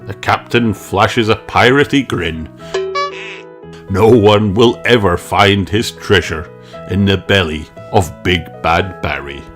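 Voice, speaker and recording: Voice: deep voice, takes it slowly, music in the background. A narrator reading a children's storybook aloud from a script.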